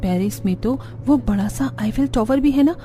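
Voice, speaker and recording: A woman's voice: a little high, normal speed, dark tone, faint music behind, natural voice. A woman voicing a sweet, dutiful and eager young village bride in a cartoon. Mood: amazed.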